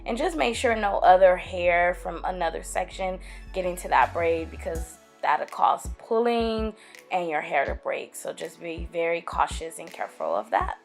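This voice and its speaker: A young woman, raspy from getting over a cold, chatting casually to her viewers as she explains braiding her own hair step by step, recorded close to a camera at home. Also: lowish voice, expressive, normal speed, soft background music. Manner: chatty, addresses viewers as guys and girl. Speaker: hair tutorial vlogger. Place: small room at home, indoors.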